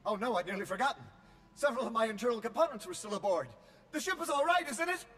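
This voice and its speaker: A man's voice: very high voice, expressive, fast, a bit bright, slight background noise. A man voicing a fussy, anxious, deferential servant droid in a prim, formal manner for a video game. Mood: fretful.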